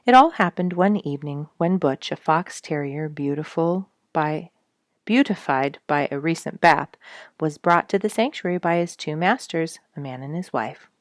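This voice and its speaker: Female storyteller for audiobook narration, low register, expressive and deliberate phrasing, close dry sound. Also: dry recording. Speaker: storyteller reading an audiobook chapter.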